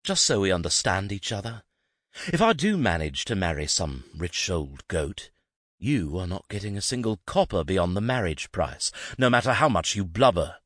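A man voicing a bitter, sarcastic young nobleman with a husky voice. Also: low voice, very animated, normal speed, fairly bright tone, no room sound, clean studio sound, full character voice. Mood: scornful. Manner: sarcastic, scornful.

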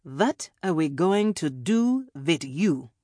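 A woman's voice: sits low, very animated, slow pace, dark tone, no room sound, clean studio sound, exaggerated voice. A woman voicing a smug, sarcastic male villain interrogator in an audiobook, mock-polite and menacing, with theatrical, taunting delivery.

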